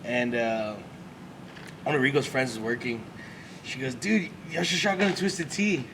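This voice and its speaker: A man's voice: mid-range voice, normal speed, background noise. A man in his twenties, telling a story animatedly with laughter and swearing. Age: 20s. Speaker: podcast co-host, musician. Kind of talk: casual storytelling.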